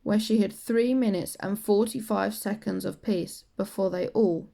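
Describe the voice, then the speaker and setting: A woman's voice: mid-range voice, steady tone, a little slow, vocal fry, dark tone. A woman narrating a children's picture book from a script as a storybook reader.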